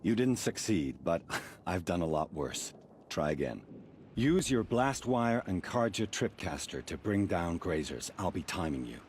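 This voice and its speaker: An actor playing a seasoned hunting trial keeper in a video game, instructive and approving, encouraging but exacting. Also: male voice, sits low, big pitch swings, unhurried, background noise. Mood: wry, instructive. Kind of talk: video game character dialogue. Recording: music behind the voice. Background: game music.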